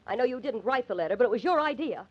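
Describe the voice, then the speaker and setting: Actress as distraught defiant young woman, high voice, emotional, old radio play, muffled recording. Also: expressive, rapid-fire, slight background noise, close to an everyday voice. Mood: bitter, defiant. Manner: emotional, headstrong, pleading. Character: distraught, defiant young sweetheart, a grieving daughter. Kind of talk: old-time radio drama acting.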